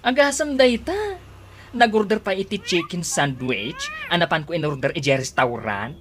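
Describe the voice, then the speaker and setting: An actress playing an excitable, suspicious household woman in Ilocano radio comedy drama, with an Ilocano accent. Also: lowish voice, moderate pace, background noise, natural voice. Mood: suspicious, annoyed. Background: music.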